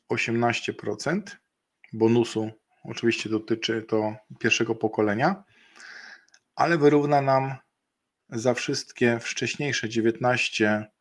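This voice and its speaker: A middle-aged man talking through slides on a video call, in a voice of ordinary middle pitch. He speaks in halting stretches, filling pauses with hesitant sounds and restarting phrases as he explains the figures. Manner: halting, many fillers and restarts, slow deliberate pace in places. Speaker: webinar presenter. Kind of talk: explaining a partner bonus program over slides. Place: indoor room, video call.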